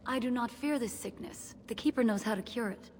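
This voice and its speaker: A woman's voice: a little high, brisk, a little mellow, lightly acted. A woman voicing an earnest young elven apprentice in a video game, polite and formal in her speech. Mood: confident. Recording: echoey cave reverb, clear background noise.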